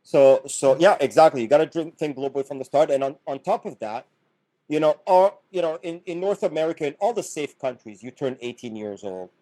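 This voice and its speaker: Dark-voiced middle-aged man explaining earnestly. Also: a little high, expressive, fairly quick, poor audio. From Canada. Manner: earnest, explanatory, repeats words as he restarts. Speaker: podcast guest, business founder. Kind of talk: casual podcast conversation.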